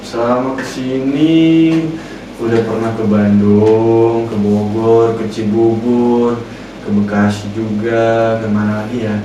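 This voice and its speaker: Young male guest, 20s, easygoing and halting, far from the mic in a very echoey room. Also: fairly low pitch, fairly even intonation. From Manado, Indonesia. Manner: easygoing, loose and hesitant, many fillers. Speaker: actor guest. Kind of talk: casual interview chat. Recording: roomy echo from far off, noise behind.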